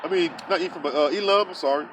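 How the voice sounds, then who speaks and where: A man with a high voice preaches outdoors, reading verses aloud and then breaking them down in blunt, casual street talk full of filler sounds. Faint traffic and the open air hum behind him. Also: fast talker. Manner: many filler sounds and restarts. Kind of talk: scripture teaching.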